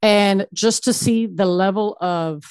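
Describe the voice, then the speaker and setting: A middle-aged woman therapist, born in Ecuador and raised in Miami, telling her story in an animated way as a podcast guest. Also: mid-range voice, takes it slowly, clean tone, no echo, studio quality. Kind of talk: casual interview storytelling.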